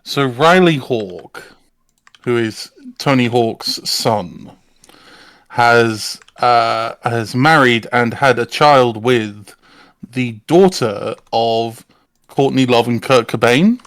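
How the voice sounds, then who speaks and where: A man's voice: medium pitch, slow pace, a bit bright, dry recording. A man on a podcast panel, chatting casually with a wry, dry sense of humour. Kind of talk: casual chat.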